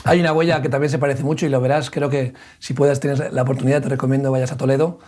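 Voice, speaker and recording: A man's voice: mid-range voice, flat delivery, quick pace, a little mellow. A man hosting a radio interview, leading the guest with long questions and comments. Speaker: radio show host.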